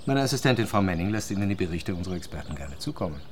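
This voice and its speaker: An actor playing a smooth-talking, unruffled water company chief in a naturalistic radio drama. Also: male voice, sits low, sing-song, racing, background noise. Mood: coolly persuasive. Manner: corporate jargon, tag questions, unruffled. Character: smooth-talking, self-assured male water company CEO.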